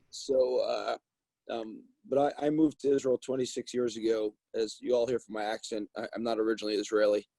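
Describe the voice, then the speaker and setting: Middle-aged male investor, husky and dry, thinks aloud on a call. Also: medium pitch, mellow, dry recording. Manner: thoughtful, repeats words while thinking. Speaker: venture capital investor. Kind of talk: panel discussion on a video call.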